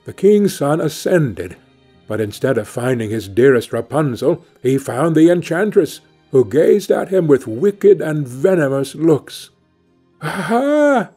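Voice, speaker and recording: A man narrating a scripted fairy tale as an audiobook reader, in a measured storytelling manner. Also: mid-range voice, animated, dark tone, slightly rough. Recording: music playing behind the voice. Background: music.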